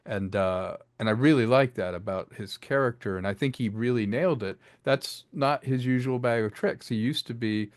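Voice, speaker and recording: Lively man director, dry close sound. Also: mid-range voice, moderate pace, few pauses, no room sound. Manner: animated, acts out lines while explaining. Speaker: director, guest. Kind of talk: casual interview talk.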